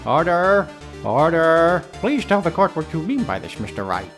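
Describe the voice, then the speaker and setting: A man voicing an authoritative, pondering judge in a courtroom game, speaking formally and deliberately. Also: a little high, big pitch swings, over loud music. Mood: commanding. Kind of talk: video game fan voice acting.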